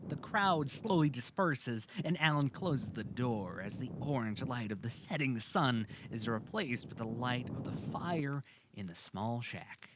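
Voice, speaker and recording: Animated male narrator, noisy recording. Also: medium pitch, unhurried. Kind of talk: scripted fantasy audio drama narration. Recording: clearly audible background noise.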